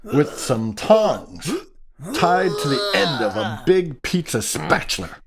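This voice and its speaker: A man's voice: on the high side, big pitch swings, slow, gravelly, over a noisy crowd, heavily acted. A man narrating the action of a scripted radio play. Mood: descriptive.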